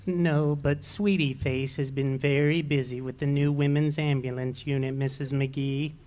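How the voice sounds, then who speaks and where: An actor playing a meek, henpecked middle-aged husband in a live radio play, speaking softly and hesitantly. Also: male voice, medium pitch, little pitch movement, measured pace, natural voice. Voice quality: soft, mild and hesitant. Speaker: amateur actor.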